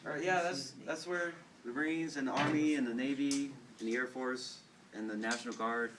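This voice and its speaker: A seventeen-year-old boy telling a rambling, halting history story to classmates in a casual way. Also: medium pitch, fairly even intonation. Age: teens. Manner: rambling, halting, with fillers and repeated words. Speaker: student storyteller. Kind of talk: casual informal talk to classmates. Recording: distant mic, faint background noise.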